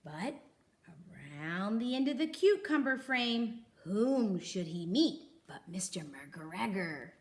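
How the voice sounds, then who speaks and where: A woman reading a children's story aloud expressively and deliberately, with dramatic pauses for young listeners. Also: medium pitch, big pitch swings. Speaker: storybook reader.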